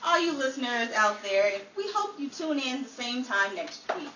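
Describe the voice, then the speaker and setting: A woman's voice: a little high, expressive, normal speed, natural voice. A woman playing an announcer on a 1940s-style radio program, delivering the show's sign-off and credits. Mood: showy sign-off. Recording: roomy, distant, noisy live audience crowd.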